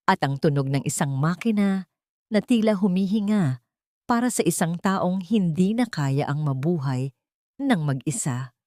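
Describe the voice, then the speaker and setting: A woman's voice: on the low side, a little mellow, no echo, studio quality. A woman narrating a scripted drama story in a dramatic style.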